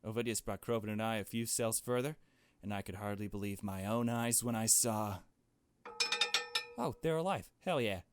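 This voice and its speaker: A man's voice: on the low side, flat delivery, fairly quick, slight background noise. A man reading visual novel narration in a dramatic storytelling style. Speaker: visual novel voice-over reader. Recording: music under the voice. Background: game music.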